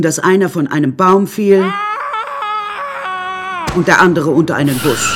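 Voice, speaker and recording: An actress playing a sardonic, much-widowed woman who recounts her life in a flippant, deadpan radio drama manner and turns indignant when challenged. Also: deep voice, background noise. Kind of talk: radio drama narration and dialogue.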